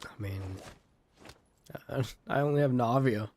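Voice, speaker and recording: Slow-talking casual male game streamer. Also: mid-range voice, a little mellow. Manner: muttering, thinking aloud. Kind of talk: casual live gameplay commentary. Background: game music.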